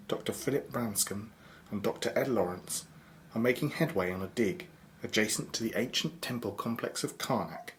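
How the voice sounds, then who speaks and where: A man's voice: fairly low pitch, a little hiss or hum, lightly acted, poor audio. A man narrating a scripted radio drama as a grave storyteller.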